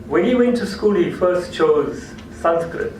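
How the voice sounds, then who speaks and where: A man's voice: high-pitched, lively intonation, unhurried, darkish tone. A man whose first language is Gujarati tells an anecdote at a cultural talk, repeating and restarting phrases as he goes. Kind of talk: storytelling lecture. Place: hall. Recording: echoey hall, distant mic, noisy.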